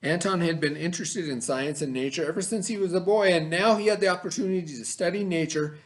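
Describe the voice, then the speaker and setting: A man reading aloud as a teacher in an expressive educational style, pausing to explain and repeat key words for children. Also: a little high.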